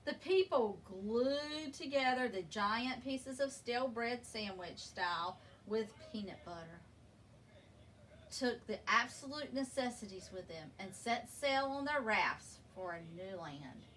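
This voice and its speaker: A woman reading a children's picture book aloud in an expressive, deliberate storytelling manner, leaning on key words. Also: a little high. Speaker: story reader. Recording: distant microphone with background noise.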